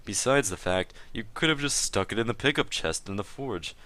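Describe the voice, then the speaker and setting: Man playing a low-voiced, insistent accuser. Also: lively intonation, normal speed, sharp tone, dry recording. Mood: teasing. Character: smug, insistent male accuser in gaming feud. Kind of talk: dramatic reading of online chat drama.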